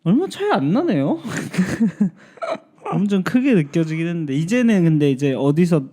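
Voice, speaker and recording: A man in his thirties hosting a podcast, soft-spoken and steering the talk with easygoing questions. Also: high-pitched, very animated, unhurried. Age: 30s. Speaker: podcast host. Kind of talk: casual chat.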